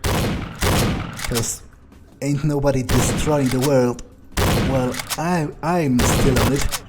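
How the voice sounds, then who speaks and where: A man role-playing a cocky, newly turned young vampire in a video game, musing casually and sardonically with hesitant pauses. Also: medium pitch, steady tone, heavy background noise, natural voice. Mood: sardonic musing. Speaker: gamer role-playing his character. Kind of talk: in-character video game playthrough, improvised musing.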